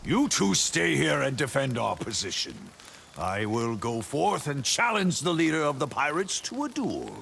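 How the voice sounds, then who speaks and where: Grand bumbling knight from a video game, super swoopy delivery, bit of gravel, faint noise behind. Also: male voice, a little high, sing-song, moderate pace, a bit bright, exaggerated voice. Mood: boldly gallant. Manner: grandiose, florid, flustered hesitations. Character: chivalrous, pompous but bumbling good-natured knight. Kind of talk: theatrical video game character acting.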